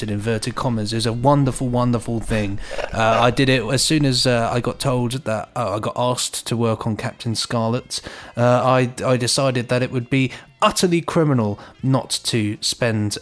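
A man co-hosting a podcast in casual chat, cheerful and rambling, often repeating his words. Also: mid-range voice. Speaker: podcast co-host.

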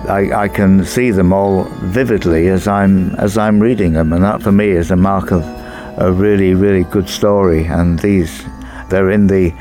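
A man speaking as an actor in a behind-the-scenes interview, halting but emphatic. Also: low-pitched, steady tone, warm tone, faint music behind.

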